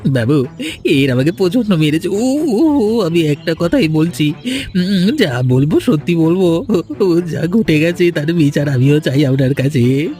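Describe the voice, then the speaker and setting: A young village lover in a cartoon, played by a man, pleading and evasive. His whining, hesitant voice swings widely in pitch over background music. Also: expressive, unhurried, warm tone. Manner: whining, hesitant, repeating himself.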